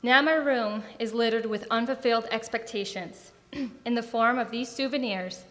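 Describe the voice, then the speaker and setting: A young American woman, a veteran, reading her personal essay on stage in a measured, solemn way. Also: on the high side, a bit bright. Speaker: military veteran performer. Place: theatre stage in an arts center. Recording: reverberant hall.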